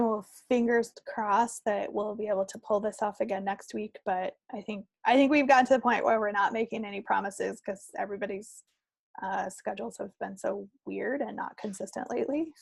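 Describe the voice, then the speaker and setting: A woman's voice: mid-range voice, steady tone, moderate pace, vocal fry, no room sound. A woman co-hosting a craft podcast, chatting casually and without a script in a friendly way. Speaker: co-host of a knitting and crafts podcast. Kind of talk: casual unscripted podcast chat.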